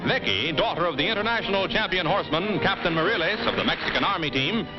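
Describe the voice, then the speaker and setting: A man's voice: high-pitched, lively intonation, fast. An American man delivering scripted sports commentary in the style of a 1950s newsreel. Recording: muffled old newsreel track, loud music.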